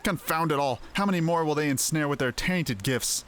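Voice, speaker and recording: A man's voice: fairly high pitch, normal speed, fairly bright tone, slight background noise, natural voice. A man voicing a scholarly, irritable male mage from a fantasy game, wordy and pedantic. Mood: indignant. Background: faint music.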